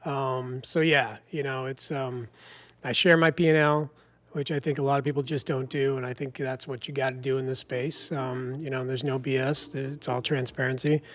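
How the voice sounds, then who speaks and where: A man's voice: medium pitch, flat delivery, moderate pace, no echo. A man guesting on a podcast, talking loosely and a bit boastfully about his sports bets over a remote line. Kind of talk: casual podcast chat.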